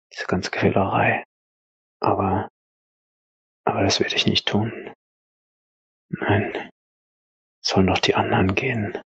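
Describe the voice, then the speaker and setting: Man as a gravelly, dogged exhausted young soldier. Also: fairly low pitch, a bit bright, no echo, muffled recording, full character voice. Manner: halting, grimly self-talking, determined. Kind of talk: audio role-play inner monologue.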